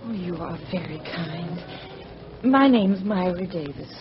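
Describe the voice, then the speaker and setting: Worried, timid young wife, halting and fretful, vintage radio acting, dull sound with faint noise. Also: female voice, on the low side, expressive. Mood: worried confiding. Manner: hesitant, fretful, breaking off mid-sentence. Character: anxious, timid, apologetic young wife and mother. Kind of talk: old-time radio drama acting. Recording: muffled, noisy old radio recording.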